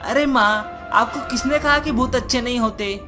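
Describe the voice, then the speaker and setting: A woman's voice: on the high side, normal speed, voice pushed up, close to an everyday voice. A woman voicing a kind-hearted young ghost boy who pleads earnestly in a cartoon story. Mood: questioning. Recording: music behind the voice.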